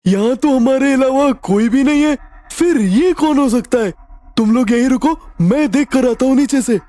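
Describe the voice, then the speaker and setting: A man voicing a cheeky, casual young friend in an animated story. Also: very high voice, normal speed. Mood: curious. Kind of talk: animated story character dialogue.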